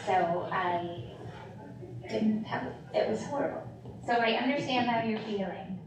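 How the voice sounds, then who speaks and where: A woman with a gravelly edge to her voice, making casual small talk as an official before a meeting. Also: fairly high pitch, animated, measured pace. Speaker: county official at a board meeting. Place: meeting room. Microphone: distant room microphone. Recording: muffled, echoey, noisy distant room microphone.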